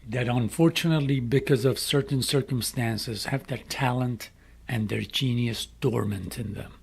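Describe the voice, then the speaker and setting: A middle-aged man from Iran with an Iranian accent, speaking English as a second language, making a slow, earnest inspirational appeal. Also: mid-range voice, creaky, darkish tone, slightly rough. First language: Farsi. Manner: slow, deliberate, emphatic phrasing. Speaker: speaker addressing young people.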